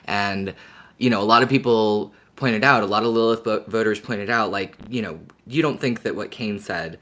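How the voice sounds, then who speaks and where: Low-voiced man in casual podcast chat. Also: normal speed, bright, forceful tone. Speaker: podcast co-host. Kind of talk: casual fan discussion podcast.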